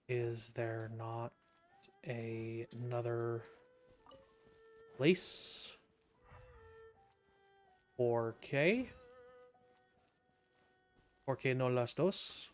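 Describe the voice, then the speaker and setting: A man streaming a video game, chatting casually and tossing off wry jokes. His voice sits at an ordinary pitch, with faint game music under it. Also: fairly even intonation, very slow, pauses often. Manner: wry, joking asides. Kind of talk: casual gameplay commentary.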